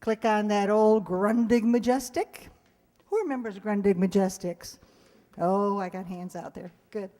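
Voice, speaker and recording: A woman's voice: medium pitch, a little slow, dark tone. A woman presenting a live stage show with a scripted introduction. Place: hall with live audience. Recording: echoey hall.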